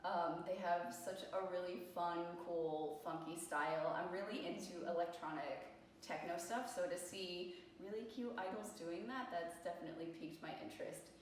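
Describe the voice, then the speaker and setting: A woman's voice: lowish voice, moderate pace. A woman co-leading an idol group, reading out fan questions and answering them with upbeat enthusiasm. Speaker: co-leader and producer of an idol group. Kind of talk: casual Q&A chat. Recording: echoey room, distant mic, faint noise.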